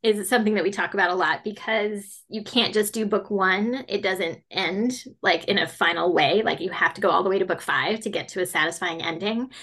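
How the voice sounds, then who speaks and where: A woman's voice: medium pitch, fairly quick, hardly any pauses, fairly bright tone, husky. A woman in her forties, a children's fantasy author, answering interview questions in a chatty way with many fillers and frequent laughter. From grew up mostly in Latin America.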